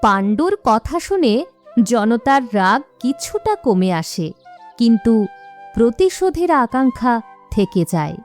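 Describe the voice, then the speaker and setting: A woman narrates a children's story from a script. Her voice is fairly high and very unhurried, with music playing behind. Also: very animated.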